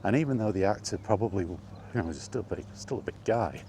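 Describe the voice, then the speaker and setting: Male vlog host, deep-pitched, conversational and casual, talking straight through with asides, faint ambient noise in the background. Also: lively intonation, brisk, warm tone. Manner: conversational, rhetorical questions and asides. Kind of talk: casual film chat monologue.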